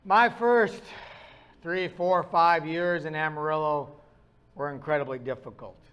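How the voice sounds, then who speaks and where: Middle-aged pastor, northern US accent, high tenor, wry self-mocking storyteller. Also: male voice, fairly even intonation, a little slow, a bit bright, clear, resonant tone, poor audio. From Upper Midwest of the US. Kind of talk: sermon.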